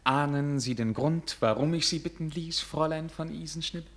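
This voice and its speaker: A man's voice: fairly high pitch, normal speed, fairly bright tone. A man playing an eager, courteous young prince in a radio play, formal and aristocratic in manner. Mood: regal, amused. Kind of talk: German radio play acting.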